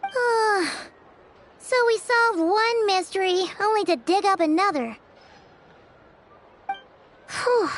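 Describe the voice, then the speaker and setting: A woman voicing a small, chirpy, childlike floating companion in a video game, squeaky and expressive with drawn-out words. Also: background noise, full character voice. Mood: weary. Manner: expressive, drawn-out, refers to herself by name. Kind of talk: video game character acting.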